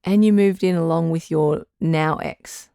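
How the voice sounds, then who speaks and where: Phone-in advice host: patient, reassuring woman doctor, low-pitched, dry studio sound. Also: steady tone, vocal fry, dark tone, clean tone, dry recording. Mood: attentive. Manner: measured, tactful, reassuring. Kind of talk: audio drama phone-in advice.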